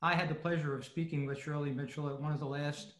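A man's voice: on the high side, level tone, fast. A man giving a prepared lecture as presenter on a video call. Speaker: presenter at an old-time radio club meeting. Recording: roomy, echoey video-call sound.

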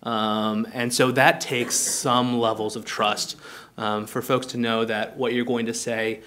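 A man's voice: medium pitch, level tone, measured pace, fairly bright tone, slight background noise. A man in his thirties on a policy panel, speaking casually with many ums and personal anecdotes, at a table microphone in a conference room.

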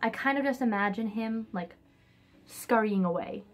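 A woman's voice: a little high, normal speed. Wry, theatrical young woman over background music. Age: young adult. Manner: wry, sarcastic, theatrical, swears freely. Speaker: book reviewer, host. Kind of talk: casual comic book recap with read-aloud excerpts. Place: indoors, small room in front of a hung sheet. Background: classical music.

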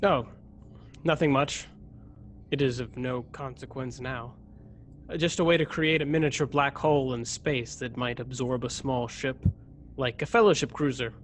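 A man's voice: mid-range voice, fairly even intonation, normal speed, lightly acted. Man playing hesitant starship ensign, noisy video call. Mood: offhand. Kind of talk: radio play acting over video call. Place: dark indoor room. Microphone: desk microphone, headphones, video call. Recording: background noise on video call.

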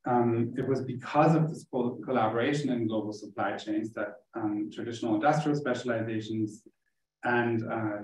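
A man from Germany, a native German speaker, lectures at an academic seminar in a medium-pitched voice. He explains things in a loose, hedging way. The sound is roomy and picked up at some distance from the microphone. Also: darkish tone, a little hiss or hum. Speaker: academic researcher giving a seminar talk. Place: indoor seminar room, streamed over video call.

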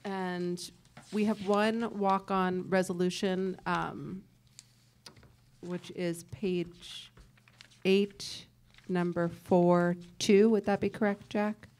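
A woman chairing a public board meeting, briskly calling motions and reading resolutions aloud in a large meeting room. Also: lowish voice, level tone, darkish tone. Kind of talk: formal meeting procedure.

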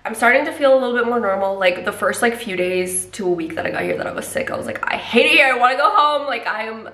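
A woman's voice: a little high, lively intonation, fast talker, pushed and bright. Young woman vlogger, 20s, chatty and upbeat, slightly stuffy nose. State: a little congested. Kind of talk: casual vlog chat and voiceover. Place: apartment room, indoors.

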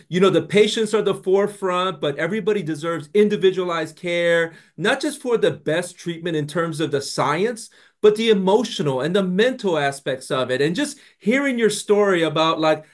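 Middle-aged host guy, high voice, chatty and upbeat, lots of "like" and "you know". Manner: casual and enthusiastic, says "you know" and "like" often. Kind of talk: interview hosting. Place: home office, video call. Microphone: desk microphone.

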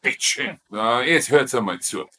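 An actor playing a flustered, obedient camp guard in a sitcom dub, blustering and folksy. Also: male voice, medium pitch, lively intonation, moderate pace, sharp tone. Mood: exasperated, pleading. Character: flustered, whiny, obedient German camp guard, middle-aged. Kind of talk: dubbed sitcom acting.

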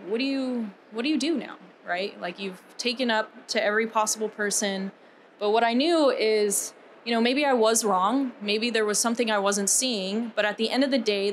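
A woman's voice: mid-range voice, a bit bright. Young American woman giving talk, noisy background. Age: young adult. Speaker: keynote speaker. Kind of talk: conference talk, personal storytelling. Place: on a stage at a conference.

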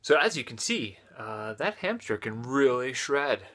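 A man in his thirties talking casually to a phone camera in a hotel room, relaxed, with many filler sounds. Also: mid-range voice, measured pace, background noise. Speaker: vlogger and father. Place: small hotel room, indoors. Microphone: phone camera at arm's length.

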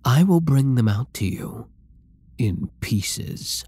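A man playing a dominant, obsessive supervillain in an ASMR roleplay, breathy and husky, speaking with slow, possessive menace. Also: mid-range voice, expressive, warm tone, no echo. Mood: menacing. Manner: slow, menacing, possessive, often dropping to a whisper. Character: dominant, obsessive male supervillain taunting captured hero. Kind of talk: ASMR roleplay, scripted dramatic monologue.

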